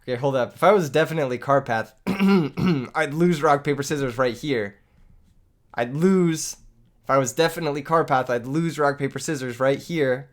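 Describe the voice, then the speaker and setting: A man's voice: medium pitch, normal speed. A young man who has lost his voice to illness, joking and laughing as he commentates a card game he is playing for his channel. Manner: joking, self-mocking, laughs often. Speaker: gaming YouTuber. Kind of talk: live gameplay commentary.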